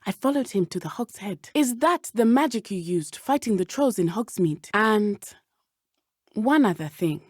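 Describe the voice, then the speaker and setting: A woman's voice: medium pitch, animated, measured pace, breathy edge, no echo, clean studio sound. A woman playing an earnest, brave teenage schoolgirl witch in a video game, speaking in formal, precise phrases. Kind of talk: video game character dialogue.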